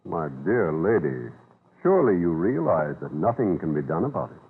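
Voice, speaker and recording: Deep-voiced, courtly old-radio judge. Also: male voice, big pitch swings, normal speed, breathy edge, heavily acted. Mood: firm, placating. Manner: formal, courtly, hesitant at awkward moments. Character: dignified, conservative older judge and father, courteous but unbending. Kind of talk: 1930s radio drama acting. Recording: muffled, scratchy 1930s radio recording.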